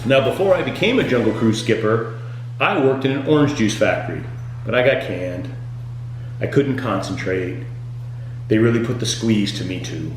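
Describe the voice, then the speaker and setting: A man delivering scripted comedic tour-guide patter as a boat skipper, with deadpan, punning jokes. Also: fairly low pitch, normal speed, slight background noise. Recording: very echoey room, music underneath.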